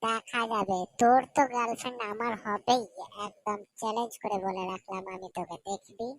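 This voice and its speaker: A woman's voice: on the high side, steady tone, brisk, background noise, natural voice. Woman voicing taunting cartoon village boy. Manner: taunting, argumentative, colloquial. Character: bickering, boastful village boys, cheeky childhood friends. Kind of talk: comic cartoon dialogue.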